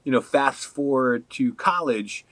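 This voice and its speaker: Animated man from Hawaii telling stories. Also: mid-range voice, measured pace, no room sound. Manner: animated storyteller, laughs often. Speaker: podcast guest. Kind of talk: casual interview chat.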